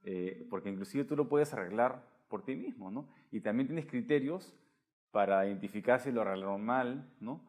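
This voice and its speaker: A man's voice: medium pitch, normal speed, darkish tone. A man interviewing conversationally, thinking aloud and adding frequent tag questions. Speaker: interviewer, host.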